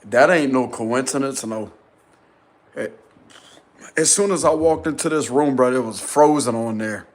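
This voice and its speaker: A man vlogging casually and insistently from a small rented room, with a deep, loud voice. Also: unhurried. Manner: excited, insistent, slangy. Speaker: spiritual vlogger. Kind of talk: casual vlog to camera.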